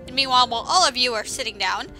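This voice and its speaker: Woman, very bright high voice, casual game commentary, sarcastic, exclamatory, informal, music behind. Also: animated, rapid-fire. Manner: informal, swearing. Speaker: gameplay commentator.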